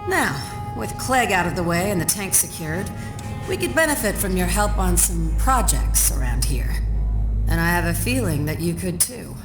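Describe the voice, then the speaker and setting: An actress playing a tough, practical, businesslike resistance tech in a video game, blunt in manner. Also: female voice, on the low side, sing-song, moderate pace. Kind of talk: video game cutscene dialogue. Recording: echoey room, music behind.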